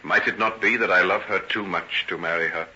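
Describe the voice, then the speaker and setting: A tortured noble doctor in an old radio play, deep voice, earnest and formal, muffled hissy recording. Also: male voice, steady tone, a little fast, pushed and bright. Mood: anguished, resigned. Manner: earnest, formal, theatrical phrasing. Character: tormented, guilt-ridden gentleman doctor, tender and self-sacrificing. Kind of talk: old-time radio drama acting.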